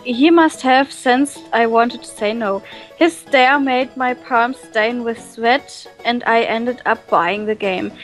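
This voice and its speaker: Casting: higher-pitched woman, unhurried, hesitant reader-translator, soft music behind. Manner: reads English text slowly, then paraphrases in German with many fillers. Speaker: reader translating a visual novel. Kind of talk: casual reading and translating with friends.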